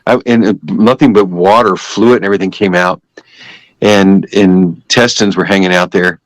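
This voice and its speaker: A man's voice: low voice, fairly even intonation, moderate pace, no echo, rough recording. An older man giving a personal religious testimony as a guest, telling it in an emphatic, repetitive, conversational way.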